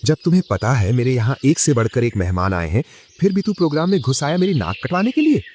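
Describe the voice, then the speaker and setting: A man playing a rich, snobbish, scornful elder brother in an animated moral story, speaking haughtily. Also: medium pitch, fast talker, a little hiss or hum, close to an everyday voice. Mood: scornful, angry.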